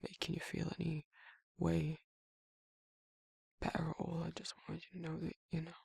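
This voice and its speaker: A man voicing a gentle, awkward werewolf in an intimate ASMR roleplay, with a British accent, a husky voice and a hesitant, rambling way of talking. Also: a little high, completely flat delivery, normal speed, no room sound, clean studio sound, heavily acted. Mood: tender, awkward. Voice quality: husky, gravelly, breathy. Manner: hesitant, rambling, bashful, stumbling over words. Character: grumpy but awkward, shy, gentle male werewolf.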